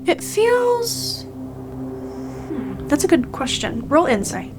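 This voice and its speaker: Unhurried woman running a tabletop game. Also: high-pitched, wildly swooping pitch, music in the background. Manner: halting, thinking aloud. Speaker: game master. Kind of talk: improvised tabletop game narration.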